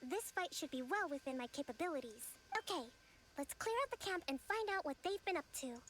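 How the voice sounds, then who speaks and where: Calm young strategist woman, higher voice, measured and gently commanding. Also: slight background noise. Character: composed young woman strategist and island leader. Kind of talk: video game dialogue.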